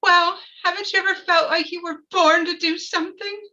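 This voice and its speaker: Woman acting an earnest gushing wife, high pitch, very bright tone, polite, table-read drama, slightly muffled mic. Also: steady tone, normal speed, a little hiss or hum. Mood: earnest, yearning. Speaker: actor in a live table read.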